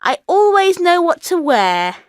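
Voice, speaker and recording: A woman's voice: very high-pitched, sing-song, measured pace, dry recording, studio quality, full character voice. A woman voicing a friendly schoolchild of about nine in a scripted language course, speaking carefully. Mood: cheerful, informative. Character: friendly nine-year-old schoolchild introducing themselves.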